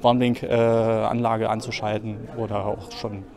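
Halting man explaining amid crowd chatter. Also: fairly low pitch, little pitch movement. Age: 30s. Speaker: car sales consultant, interviewee. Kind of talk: spontaneous interview explanation. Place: busy car showroom, indoors. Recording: busy showroom crowd noise.

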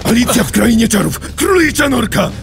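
A man's voice: medium pitch, animated, a little slow, mellow, close to an everyday voice. Awkward, stammering young wolf played by a man. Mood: alarmed. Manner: hesitant, stammering. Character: awkward, earnest, anxious young male wolf student. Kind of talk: comedic anime parody dub. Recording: music behind the voice.

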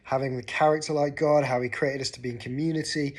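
Earnest English pastor talking to camera. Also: male voice, mid-range voice, steady tone, fast talker. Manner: earnest, gestures with his hands while talking. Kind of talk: short devotional talk to camera. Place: living room, seated on a sofa indoors.

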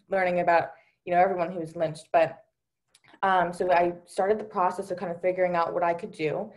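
Young woman panelist, slightly low voice, sincere storytelling over a video call. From Delaware, USA. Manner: earnest, many fillers like um and you know. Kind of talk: personal account on a virtual panel.

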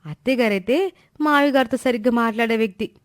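A woman's voice: on the high side, fast, no echo, studio quality, close to an everyday voice. Woman as a timid, dutiful young wife. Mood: coaxing. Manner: anxious, respectful, coaxing. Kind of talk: animated moral story dialogue.

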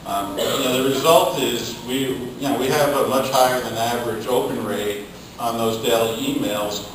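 A middle-aged man giving a measured, halting answer as a panelist at a conference. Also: mid-range voice. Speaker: panelist, labor union communicator. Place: hotel conference ballroom, recorded from the audience. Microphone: distant camera picking up the room sound. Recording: distant, very echoey room recording, faint noise.

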